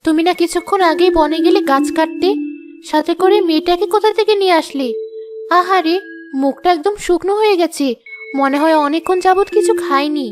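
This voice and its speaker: A caring village wife in a children's cartoon. Her voice is high, motherly and a bit nagging, with music clearly underneath. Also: a little fast. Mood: tender, motherly.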